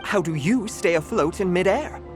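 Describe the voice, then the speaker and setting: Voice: medium pitch, animated, moderate pace, loud music behind the voice, close to an everyday voice. An actor voicing a fussy, proud male cat butler in a video game, speaking in a pompous, formal and theatrical way. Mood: indignant, proud.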